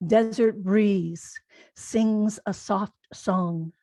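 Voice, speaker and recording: A woman poet reading haiku aloud in a measured delivery with pauses between the phrases. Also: medium pitch, steady tone, warm tone, no room sound, clean studio sound. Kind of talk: poetry reading.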